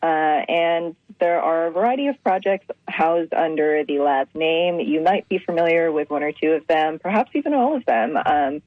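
A woman's voice: low-pitched, expressive, normal speed, hardly any pauses, no room sound. An upbeat, eager woman explains her research as a radio guest. She comes through a dull-sounding phone line. The line is dry, with no room sound at all. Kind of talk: phone-in interview.

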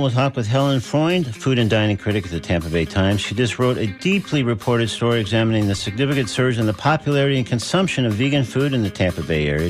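A man hosting a radio interview show, measured and friendly, pausing often with "uh". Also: medium pitch. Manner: measured, friendly, with frequent "uh" pauses.

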